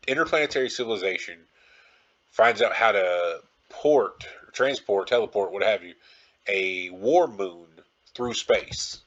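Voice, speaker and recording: A man's voice: low-pitched, fairly bright tone, lo-fi sound. A man hosting a podcast solo in a casual, rambling style, informal and circling back to repeat his phrases. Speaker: podcast host.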